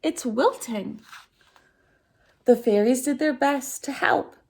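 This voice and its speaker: A woman reading a children's picture book aloud as a storytime host, expressively, with theatrical, drawn-out emphasis on key words. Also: high voice. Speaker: storytime reader at a dance centre.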